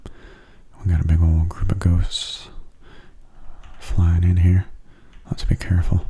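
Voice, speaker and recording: Very soft, husky low-pitched man. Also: little pitch movement. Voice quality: soft, breathy, often near a whisper. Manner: gentle, unhurried, explanatory. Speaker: video game commentator. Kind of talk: soft-spoken ASMR gameplay commentary.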